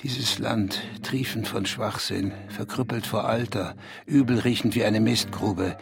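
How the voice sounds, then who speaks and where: A man's voice: fairly low pitch, steady tone, unhurried, gravelly, music in the background. An actor playing a bitter, self-absorbed middle-aged writer in a radio drama, brooding and declamatory in his accusations. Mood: bitter, accusing. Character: bitter, self-absorbed East German male writer, needy lover.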